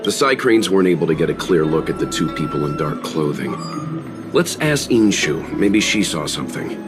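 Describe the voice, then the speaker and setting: A man playing a calm, courteous young investigator in a video game, speaking in a measured, formal, serious way. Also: deep voice, music in the background. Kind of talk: video game character dialogue.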